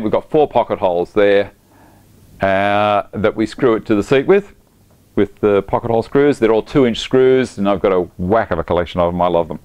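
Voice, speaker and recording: A middle-aged man, chatty and enthusiastic, explaining a woodworking project step by step in his home workshop. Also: lowish voice. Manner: chatty, enthusiastic, talks while working. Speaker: woodworking instructor.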